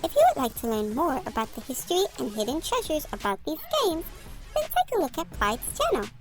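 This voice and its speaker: A woman's voice: high voice, sing-song, fairly quick, faint music behind. A woman reading a scripted, tongue-in-cheek promo as an announcer.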